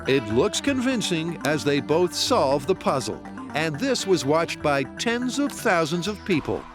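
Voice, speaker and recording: Lively punning male documentary narrator. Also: a little high, a little slow. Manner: playful, punning delivery. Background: music.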